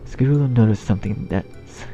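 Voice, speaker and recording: A man narrating fan fiction in outtakes, halting and restarting, swearing at his own slips in a self-deprecating way. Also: medium pitch. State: shivering from cold. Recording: dull lo-fi sound, loud music. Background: loud music.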